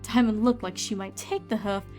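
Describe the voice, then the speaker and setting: A woman's voice: medium pitch, steady tone, normal speed, darkish tone, soft background music. A woman reading scripted story narration as the narrator of a fan fiction.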